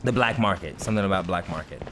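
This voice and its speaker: A man's voice: low-pitched, quick pace. A man from Britain giving casual, rambling let's-play commentary as he plays, chatty, self-mocking and often laughing. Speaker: gaming video host.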